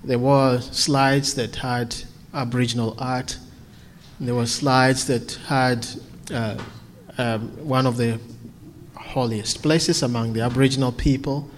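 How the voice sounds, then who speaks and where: A man's voice: mid-range voice, fairly even intonation, slow pace, a little hiss or hum. A man from Kenya, preaching earnestly and then answering questions, often repeating words as he restarts. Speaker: visiting preacher.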